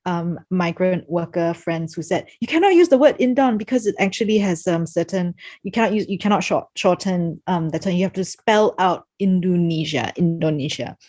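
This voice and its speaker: A woman's voice: lowish voice, normal speed, hardly any pauses, darkish tone. A woman with a Singaporean accent speaking reflectively as a panel moderator on a video call, hesitantly thinking aloud.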